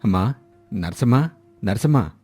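A man's voice: fairly low pitch, lively intonation, measured pace, darkish tone, husky, soft background music. A man playing a proud, touchy village husband in an emphatic moral story drama. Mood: pleading. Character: proud, touchy village husband who gives too freely. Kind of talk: moral story character acting.

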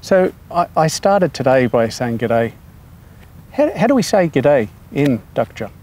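An Australian man with an even, mid-height voice asking friendly questions. He often repeats the first word before he gets going. Faint outdoor noise can be heard behind him. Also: mid-range voice, measured pace. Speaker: interview host. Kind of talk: casual interview.

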